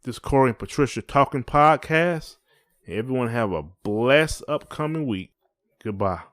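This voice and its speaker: A man's voice: medium pitch, moderate pace, fairly bright tone. Man chatting casually on a podcast. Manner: folksy, colloquial phrasing. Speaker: podcast co-host. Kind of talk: casual conversational podcast chat.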